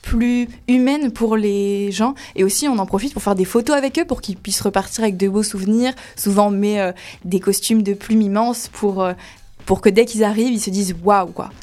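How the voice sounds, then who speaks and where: A woman's voice: mid-range voice, brisk. Talkative woman describing her dance job. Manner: lively, talkative explainer. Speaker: cabaret dancer guest. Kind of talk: explaining her work in an interview.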